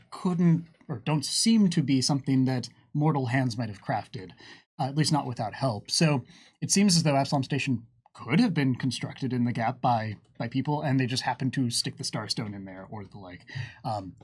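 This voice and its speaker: A man answering viewer questions in a casual livestream chat, with playful, animated asides. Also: mid-range voice, slightly rough.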